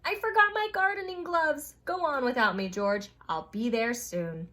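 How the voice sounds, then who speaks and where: A woman's voice: sits high, moderate pace, sharp tone. A woman reading as a kindly, sensible man. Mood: hurried. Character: kindly, sensible man, patient guardian of a curious monkey.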